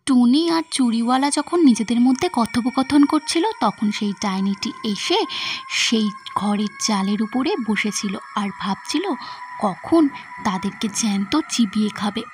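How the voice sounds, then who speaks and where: A woman's voice: a little high, lively intonation, measured pace, darkish tone. A woman narrator reading a scripted children's story for a cartoon. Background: background music.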